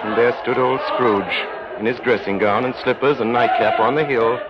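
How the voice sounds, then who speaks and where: A man narrating an old radio drama in a deliberate, theatrical storytelling manner. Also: mid-range voice, steady tone, over a noisy crowd, heavy background noise. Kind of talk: scripted dramatic 1930s-40s radio narration. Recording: muffled old radio broadcast, loud music behind.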